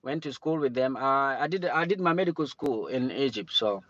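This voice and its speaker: A man from Cameroon chatting casually on a livestream, talking in a halting way with many restarts and fillers. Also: mid-range voice, animated, a bit bright, no room sound. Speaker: livestream host. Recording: dull lo-fi stream audio.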